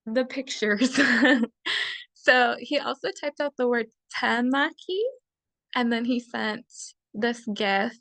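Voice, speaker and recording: A young woman walks through a class slideshow over a video call, chatty and quick to laugh, dropping fillers as she goes. Her voice sits a little high and has a gravelly fry, and she takes her time. The sound is dry and close, with no room around it. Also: fairly bright tone, no echo. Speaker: student presenting a class assignment. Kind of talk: casual slideshow presentation.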